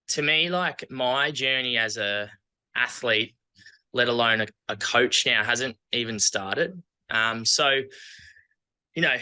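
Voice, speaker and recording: Bright, clear-voiced Australian man. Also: medium pitch, rough recording. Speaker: interview guest, athlete and coach. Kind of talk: casual interview chat.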